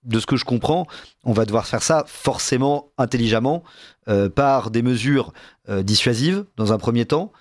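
A man hosting a discussion, measured and halting as he searches for his words. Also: medium pitch, expressive, no echo, clean studio sound. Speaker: discussion host. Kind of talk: moderating a panel discussion.

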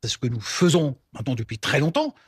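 A male politician answering in a broadcast interview in a defensive, halting manner. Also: mid-range voice, huge pitch swings, breathy edge. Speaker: member of parliament.

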